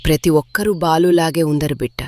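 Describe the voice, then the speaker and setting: A woman's voice: low voice, fairly quick, mellow, close to an everyday voice. A woman voicing a worried, motherly bird in a cartoon story, speaking persuasively. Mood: coaxing. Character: worried, insistent mother bird urging her daughter. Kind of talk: cartoon moral story dialogue.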